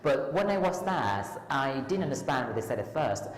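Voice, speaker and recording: A woman's voice: rumbling low, sing-song, moderate pace. A woman from Thailand with a Thai accent, a lecturer and tutor answering interview questions in a hesitant way, with many ums and restarts. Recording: echoey hall with long reverb.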